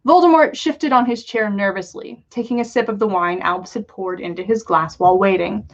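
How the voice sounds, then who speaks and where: A woman's voice: medium pitch, a little fast, muffled recording. A woman narrating a comic story aloud as a podcast host.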